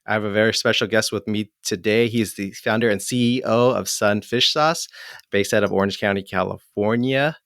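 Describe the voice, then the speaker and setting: A man in his forties hosting an interview, friendly and a little halting with frequent restarts. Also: lowish voice, fairly bright tone, dry recording, clean studio sound. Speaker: interview host. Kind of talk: hosted interview.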